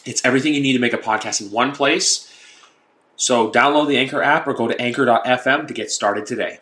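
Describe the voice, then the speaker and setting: A man's voice: mid-range voice, fairly quick, bright, forceful tone. A man reading a scripted ad in an upbeat, polished way. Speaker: ad announcer. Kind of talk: scripted ad read.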